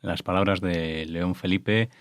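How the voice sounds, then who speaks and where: A man hosting a radio news show, announcing segments in a measured, formal way. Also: deep voice, steady tone, no room sound, studio quality.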